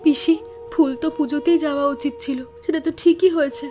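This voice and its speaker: A woman's voice: high voice, fairly even intonation, fairly quick, dark tone, close to an everyday voice. A woman voicing a sensitive, apologetic young niece in a cartoon story, earnest, pleading and sentimental. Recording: music under the voice.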